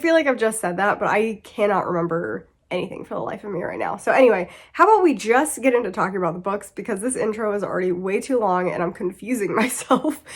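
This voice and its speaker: Stuffy-nosed sick woman rambling about her books, laughs a lot, keeps losing her thread. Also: medium pitch. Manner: chatty, laughing, self-interrupting. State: congested and sick. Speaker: book vlogger. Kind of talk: casual reading wrap-up chat.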